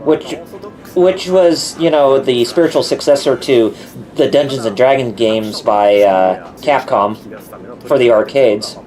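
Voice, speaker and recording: A man's voice: medium pitch, unhurried, poor audio. Laid-back man hosting a reaction stream. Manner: relaxed, reads viewer chat aloud and reacts. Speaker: livestream host. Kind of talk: casual live reaction chat.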